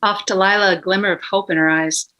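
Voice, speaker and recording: A woman's voice: medium pitch, fairly even intonation, fast talker, pushed and bright, low-quality recording. A woman narrating a TV script's scene descriptions and stage directions at a table read.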